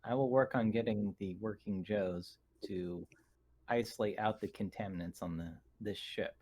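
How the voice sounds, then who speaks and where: Lower-pitched man at a gaming session. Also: moderate pace, a little mellow, slight background noise. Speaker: tabletop game player.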